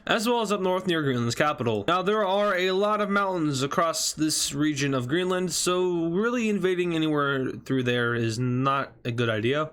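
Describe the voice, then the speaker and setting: A man's voice: sits high, a bit bright. A man sounding stuffy from allergies, giving casual, conversational commentary as a video host, with informal self-deprecating asides. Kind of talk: casual commentary over a map scenario video.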